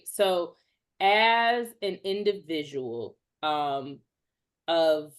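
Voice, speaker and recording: A woman moderator puts her questions in a measured, careful way, often adding "kind of" and "right?". Her voice sits slightly low. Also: fairly bright tone. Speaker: host, moderator. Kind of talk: interview questions.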